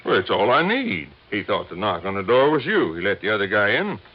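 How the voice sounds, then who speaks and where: An actor playing a stubborn, plain-spoken police chief in an old radio drama, folksy and matter-of-fact. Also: male voice, lowish voice, very animated, fairly quick. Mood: stubborn, certain. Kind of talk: 1950s radio drama acting. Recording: dull old radio recording.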